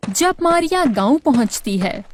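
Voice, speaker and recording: A woman's voice: sits high, big pitch swings, a little slow, slight background noise. A woman narrating a scripted moral story for children as a storyteller.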